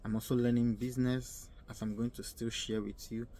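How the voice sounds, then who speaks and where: A young man from Nigeria with a Nigerian accent giving earnest advice in a casual vlog, often repeating himself and pausing to search for words. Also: mid-range voice, little pitch movement, mellow. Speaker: vlogger. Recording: faint ambient noise.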